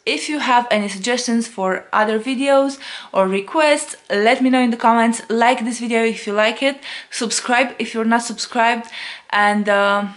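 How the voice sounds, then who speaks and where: A woman's voice: a little high, normal speed. A woman in her thirties talking casually as a cooking vlogger, recorded indoors at home by a camera a short distance away. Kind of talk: casual recipe vlog.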